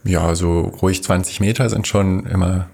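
A young man from Germany, a podcast guest, chatting in a relaxed way and talking in loose lists. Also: low-pitched, normal speed, gravelly, slightly rough. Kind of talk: casual interview chat.

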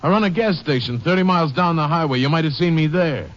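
A man's voice: fairly high pitch, fast talker, a bit bright, big-room sound, lightly acted. Man playing a defensive gas station owner. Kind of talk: 1940s radio drama acting. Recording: dull old radio recording with hiss.

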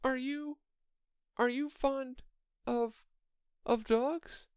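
A woman's voice: high voice, fairly even intonation, very slow, smooth, no echo, muffled recording, pitched above the performer's own voice. A woman voicing a polite, chatty little girl in a children's storybook, earnest and apologetic as she rambles on. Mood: eager.

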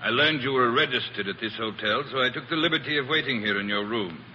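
An actor playing a formal, coolly insistent plantation owner in a 1940s radio drama, stiffly polite and clipped. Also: male voice, mid-range voice, quick pace, pushed and bright, lo-fi sound. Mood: formal, brisk. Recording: noisy old 1940s radio recording.

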